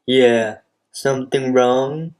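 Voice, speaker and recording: A man's voice: mid-range voice, fairly even intonation, slow pace, darkish tone, natural voice. A man playing a laid-back, unmenacing slacker monster with casual, nonchalant delivery in a comedic radio drama. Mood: unimpressed.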